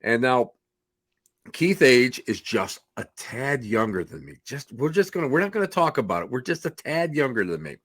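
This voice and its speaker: A middle-aged man with a slightly hoarse voice, chatting in a folksy, effusive, rambling way as he opens a talk show as its host. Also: medium pitch, sing-song, moderate pace, fairly bright tone, no room sound. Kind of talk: casual rambling show introduction.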